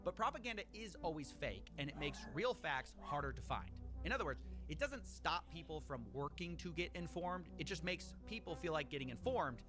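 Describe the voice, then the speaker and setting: Lively scripted narration over music. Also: male voice, a little high, a little fast, few pauses. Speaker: video essayist.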